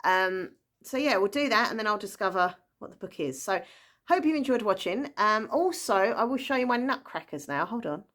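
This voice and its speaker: A woman's voice: mid-range voice, animated, normal speed, a bit bright. Chatty woman rambling about books. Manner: rambling, enthusiastic, full of fillers and small laughs. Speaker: book vlogger. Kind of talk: casual chatty book talk.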